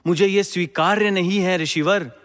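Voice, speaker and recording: A man's voice: high-pitched, moderate pace, a bit bright. A man playing a devout, principled ancient king in a mythological audio drama, speaking in a formal, declamatory way. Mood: resolute, respectful. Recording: long echoing hall reverb.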